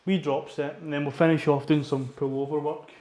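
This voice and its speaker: A man's voice: on the high side, level tone, a little fast, darkish tone. A Scottish man with a congested, sniffly voice from a cold, chatting informally as he talks through his workout on a vlog. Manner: informal, mildly sweary. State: getting over a cold. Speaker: fitness vlogger. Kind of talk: casual workout vlog chat and rep counting. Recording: roomy, echoing sound.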